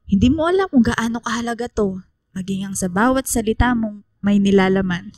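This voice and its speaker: An actress playing a kind, motherly female schoolteacher in a radio drama, speaking gently and affectionately. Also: mid-range voice, fairly quick, no room sound, clean studio sound, close to an everyday voice. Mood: touched, grateful. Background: music under the voice.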